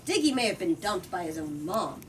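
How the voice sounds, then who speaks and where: A woman's voice: fairly low pitch, very animated, a little fast. A woman reading a storybook aloud to listeners and talking about it, with dramatic, emphatic delivery. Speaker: host reading book excerpts aloud. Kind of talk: expressive storybook read-aloud with discussion. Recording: distant mic, noisy room ambience.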